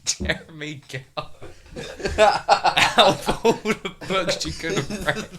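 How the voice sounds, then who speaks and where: A man's voice: on the high side, slow, a crowd in the background. A young man talking casually as a band member on a podcast. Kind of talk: casual chat.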